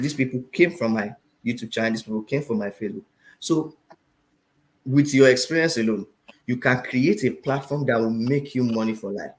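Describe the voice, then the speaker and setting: A man with a Nigerian accent, a finance teacher talking as a guest in an interview, animated and often repeating phrases for emphasis. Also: medium pitch. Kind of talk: interview talk.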